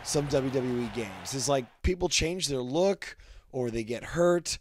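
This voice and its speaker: A man's voice: medium pitch, lively intonation, unhurried, no room sound, studio quality. A man giving casual, jokey and self-deprecating commentary as a host, rambling as he goes. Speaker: host commenting on wrestling video game cutscenes. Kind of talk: casual commentary with mock wrestling announcing.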